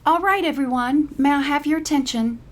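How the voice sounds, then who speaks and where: A woman's voice: sits high, brisk, a little hiss or hum, pitched above the performer's own voice, natural voice. Woman acting a polite, earnest hippie art teacher. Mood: polite, apologetic. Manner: polite, earnest, slightly flustered.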